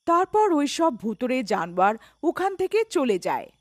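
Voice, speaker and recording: A woman narrating a scripted animated story as its storyteller. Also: high-pitched, moderate pace, dry recording, studio quality.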